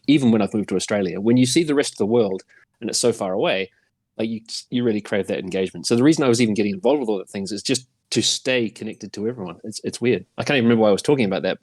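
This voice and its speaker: A man's voice: fairly low pitch, no echo. A middle-aged man with a New Zealand accent chatting casually as a podcast guest, in a rambling, self-deprecating way. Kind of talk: casual chat.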